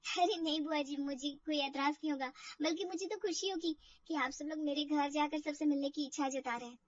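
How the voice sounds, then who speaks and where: A woman's voice: high voice, steady tone, brisk, recorded from a distance, dull audio, a little hiss or hum, lightly acted. A woman voicing a polite, anxious young new bride in a family drama story, hesitant and deferential.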